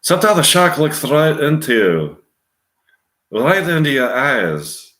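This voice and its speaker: A grizzled sailor, acted by a man, tells a grim movie tale in an attempted Irish accent. The delivery is grave and halting, pitched up in an echoing room. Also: sing-song, a bit bright, exaggerated voice. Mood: grim, haunted. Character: grizzled Irish sailor recounting a shark horror. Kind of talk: movie monologue reading. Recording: roomy, echoing space.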